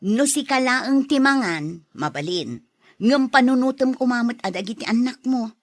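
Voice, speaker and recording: A woman's voice: a little high, expressive, normal speed, no room sound, natural voice. An actress playing a patient, worried wife and mother in a radio drama, speaking with an Ilocano accent. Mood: evasive. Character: patient, worried housewife and mother, respectful, careful.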